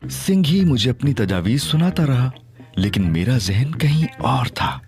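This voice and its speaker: A man's voice: mid-range voice, very animated, unhurried, gravelly, over music. A man narrating an audio drama in first person and playing a cheeky, mock-innocent young hero.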